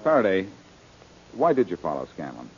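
An actor playing a cool, wisecracking detective hero in a 1940s radio drama, smooth-talking and wry. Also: male voice, low voice, huge pitch swings, moderate pace, exaggerated voice. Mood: urgent. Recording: muffled old radio recording.

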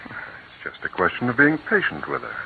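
An actor playing a gentle, patient father and husband with a soothing manner, in 1940s radio acting. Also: medium pitch, wildly swooping pitch, moderate pace, vocal fry, slight background noise. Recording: tinny old radio broadcast.

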